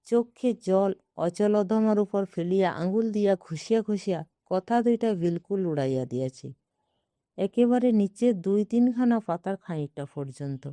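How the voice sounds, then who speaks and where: Female narrator for literary short fiction. Mellow and slow, in a dry recording. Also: medium pitch, no room sound. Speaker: audiobook narrator.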